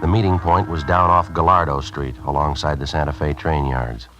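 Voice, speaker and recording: An actor playing a tough undercover police detective in a 1950s radio drama, clipped and hard-boiled, also narrating. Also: male voice, extremely low pitch, steady tone, normal speed, muffled recording. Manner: clipped, hard-boiled, bullying when in character. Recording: old radio broadcast with faint music.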